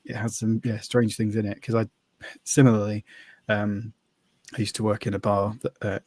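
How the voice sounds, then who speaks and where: A man's voice: on the low side, flat delivery, moderate pace, darkish tone, no echo. A man co-hosting a podcast, telling rambling anecdotes. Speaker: podcast co-host. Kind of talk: casual chat.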